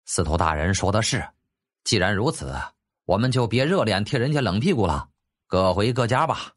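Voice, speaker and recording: 男声演绎不满的年长朝廷大臣. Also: male voice, lowish voice, lively intonation, moderate pace. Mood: indignant. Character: grumbling senior court ministers, older men, indignant and stiff. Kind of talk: audiobook character acting.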